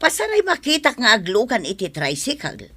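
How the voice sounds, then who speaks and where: Actress as prying village neighbour, gossipy, swooping bright voice, radio drama. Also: female voice, on the high side, huge pitch swings, normal speed, dry recording, full character voice.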